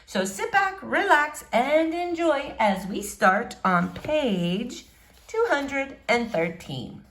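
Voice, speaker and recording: A woman reading a novel aloud to young listeners in an expressive storybook style, pausing dramatically on key words. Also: high voice. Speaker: read-aloud presenter.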